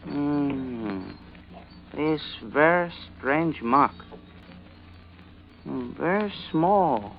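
An actor playing a wary old Chinese shopkeeper in a radio drama, speaking clipped broken English with a Chinese accent. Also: male voice, on the high side, animated, very slow, creaky, pauses often, a little hiss or hum, exaggerated voice. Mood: dismissive, curious. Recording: dull, band-limited old radio recording.

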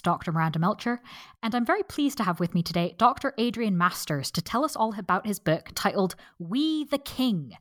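A woman's voice: medium pitch, a bit bright, no echo, studio quality. A woman hosting a book podcast, introducing her guest in an enthusiastic, friendly way with occasional ums. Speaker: podcast host.

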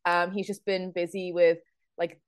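A woman hosting a casual sports podcast, her voice hoarse and raspy from screaming, chatty with many fillers and laughs. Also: fairly low pitch, flat delivery, a little slow, no echo. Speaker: podcast host. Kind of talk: casual chat.

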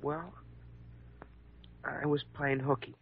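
An actor with an American accent playing a defensive, pleading injured schoolboy in an old-time radio drama, speaking in hesitant boyish slang. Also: male voice, on the high side, level tone, takes it slowly, gravelly, husky, exaggerated voice. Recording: muffled vintage radio recording.

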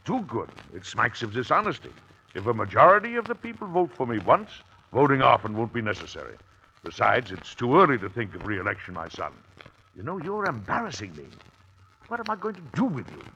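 A man's voice: medium pitch, very animated, fairly quick, bright, forceful tone. An actor playing an irritable older president and father in an old-time radio drama, blustering in formal, old-fashioned speech. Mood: gruffly scolding. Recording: dull, hissy old-time radio recording with clicks.

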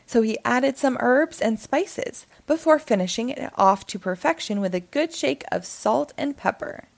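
Animated, unhurried woman reading a storybook. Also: a little high, creaky. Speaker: children's book reader.

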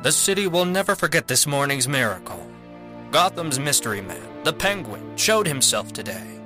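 A man playing an excited television news reporter in an audio drama, with a dramatic newscast delivery. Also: on the high side, moderate pace. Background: music.

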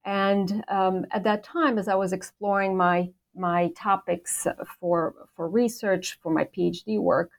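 A woman in her sixties from Poland, a professor answering interview questions thoughtfully, with many pauses, fillers and restarts. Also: lowish voice. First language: Polish. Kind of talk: academic interview.

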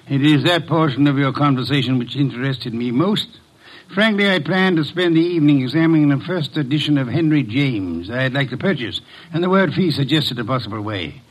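An actor playing an urbane, sardonic private detective in an old radio drama, wordy and self-assured. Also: male voice, medium pitch, expressive, brisk, lightly acted, low-quality recording. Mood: wry.

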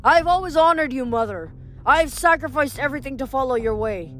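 A woman's voice: high-pitched, fairly quick, a bit bright, soft background music. Woman voicing a bitter, curt old male inventor. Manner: curt, muttering, scornful.

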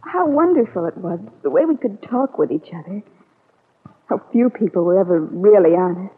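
Radio soap niece: young, disillusioned, bitter, breathy-husky voice, very narrow vintage sound. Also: female voice, mid-range voice, animated, moderate pace. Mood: wistful. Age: young adult. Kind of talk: old-time radio soap opera acting. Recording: tinny vintage radio recording.

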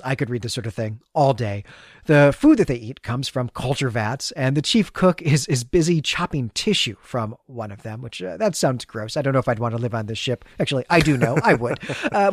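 A man's voice: medium pitch, no room sound, clean studio sound. A man co-hosting a podcast, recapping a story in a conversational, explanatory way with enthusiastic, chatty asides. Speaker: podcast co-host.

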